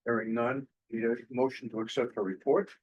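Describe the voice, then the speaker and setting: A man runs a formal board meeting, moving briskly through motions and votes in set phrases. The sound is slightly dull, like a plain meeting-room recording. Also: mid-range voice, fairly even intonation. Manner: brisk, procedural, formulaic motions. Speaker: board chair.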